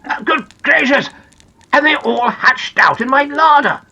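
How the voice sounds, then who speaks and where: A woman's voice: fairly low pitch, very animated, normal speed, vocal fry, pushed and bright, breathy edge, exaggerated voice. A woman voicing a fussy, scolding but kindly male gnome from a children's storybook, exclaiming and reproving, with some background noise. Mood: amazed.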